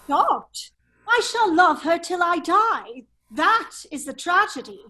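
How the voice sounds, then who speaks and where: A woman playing a witty, foppish English gentleman with a secret life, in an affected drawling manner in an amateur drama reading. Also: extremely high pitch, very animated, slow, exaggerated voice. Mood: sorrowful, earnest. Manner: affected, languid, drawling, exclaiming.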